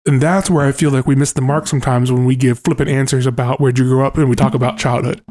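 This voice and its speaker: A young man co-hosting a podcast, reasoning things through aloud in a relaxed, thoughtful way. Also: mid-range voice, fast talker. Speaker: podcast co-host. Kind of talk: casual podcast conversation.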